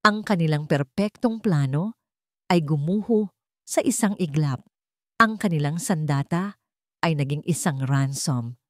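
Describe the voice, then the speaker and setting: Female story narrator, somewhat low voice, dramatic scripted read, dry recording. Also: unhurried, pauses often, no room sound.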